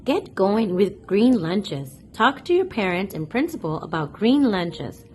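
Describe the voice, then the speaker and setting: Slow, animated woman reading to children. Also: mid-range voice, slight background noise. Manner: deliberate, addresses young listeners directly with questions. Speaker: host of a children's read-aloud channel. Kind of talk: children's picture book read-aloud, storytelling to kids.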